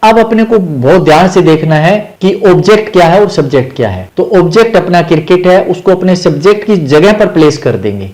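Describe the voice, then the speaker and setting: A man's voice: sits high, expressive, brisk, few pauses, a little mellow. A man with an Indian accent explaining grammar as a teacher, methodically repeating each rule step by step.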